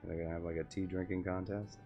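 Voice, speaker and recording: Casting: male game streamer, lowish voice, casual running commentary, faint music underneath. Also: flat delivery, fast talker, muffled recording. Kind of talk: casual live gameplay commentary, reading dialogue aloud.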